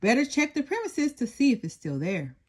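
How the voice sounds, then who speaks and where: Matter-of-fact cop for a picture book, official and clipped, higher register , voiced by a woman. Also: huge pitch swings, fairly quick, slightly rough, full character voice.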